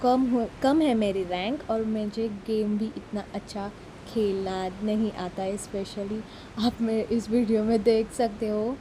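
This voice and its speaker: A woman's voice: medium pitch, steady tone, unhurried, noisy background. A Marathi-speaking woman from Maharashtra with a Mumbai Hindi accent, chatting through a voice-over of her mobile gameplay, self-deprecating and switching between Hindi and English. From Maharashtra, India. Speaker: mobile gaming YouTuber. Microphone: phone mic.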